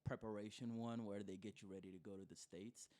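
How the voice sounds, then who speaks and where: A man's voice: lowish voice, steady tone, brisk, warm tone. A cheerful man born in Kenya and a native Kizigua speaker, chatting casually and hesitantly as a podcast guest. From born in Kenya to Somali parents, lives in Idaho. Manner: cheerful, giggly, hesitant phrasing. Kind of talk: casual chat.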